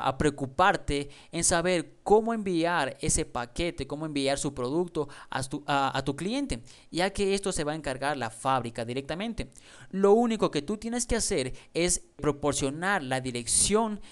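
Male tutorial narrator, clear resonant voice, friendly and direct with the viewer, close-mic voice-over. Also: on the high side. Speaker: online business instructor.

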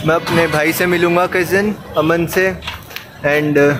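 A young man talks to the camera in a relaxed way, his voice a little higher than usual. He addresses the viewers directly. Loud vehicle and outdoor noise runs under his voice throughout. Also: takes it slowly. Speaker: vlogger's friend. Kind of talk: casual chat to camera.

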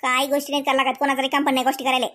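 A woman plays a homely village woman from a family cartoon, gossipy and colloquial in a rural Varhadi Marathi accent. The voice is very high and the talk runs fast, in a dry, close sound. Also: completely flat delivery, fairly bright tone, no echo. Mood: homely, concerned. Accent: Varhadi Marathi, rural Vidarbha. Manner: gossipy, colloquial, drawn-out kinship exclamations.

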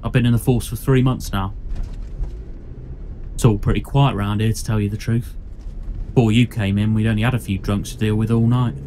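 A man's voice: fairly low pitch, fairly even intonation, fairly quick, long pauses, mellow, slight background noise, lightly acted. A man playing an earnest, nervous rookie policeman in an audio drama, deferential and full of questions. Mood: chatty.

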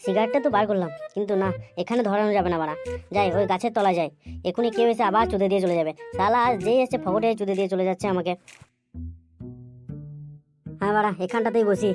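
A woman's voice: mid-range voice, fast talker, long pauses, music in the background, lightly acted. A woman voicing a hapless, wheedling, crude young male boozer in a comedy cartoon. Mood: grumbling, crude. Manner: pleading, grumbling, repetitive. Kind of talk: comedy cartoon dialogue and monologue.

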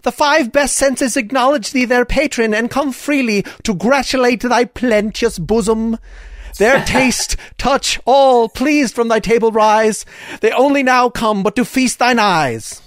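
A man's voice: extremely high pitch, a little slow, a bit bright, no room sound, voice pushed up. A man voicing a flowery, fawning Cupid in a Shakespeare audio play reading. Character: flowery, high-voiced Cupid hailing his host.

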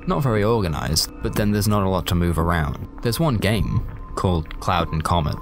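Very deep-voiced British male storyteller. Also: animated, moderate pace. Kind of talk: first-person scripted comic narration. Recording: loud music bed under the voice.

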